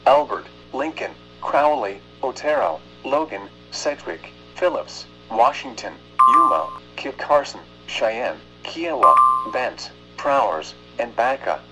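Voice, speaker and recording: A man reading a formal, measured emergency weather bulletin as an announcer. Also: medium pitch, sharp tone. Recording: dull lo-fi weather radio sound, music.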